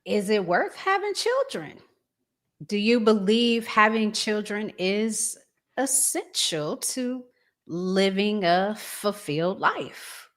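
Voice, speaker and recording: A woman's voice: medium pitch, big pitch swings, slow, a bit bright. A woman hosting a talk show in an informal, colloquial way, introducing topics for discussion.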